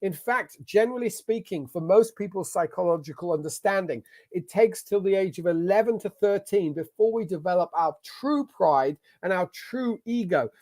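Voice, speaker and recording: A man's voice: sits high, animated, normal speed, hardly any pauses, darkish tone. A man with an English accent giving an online masterclass as a business coach, talking in an emphatic, motivational way. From England. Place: at a computer sharing his screen.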